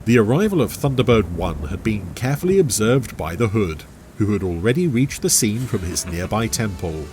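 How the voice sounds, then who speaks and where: Animated male adventure story narrator. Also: on the low side, moderate pace, noisy background.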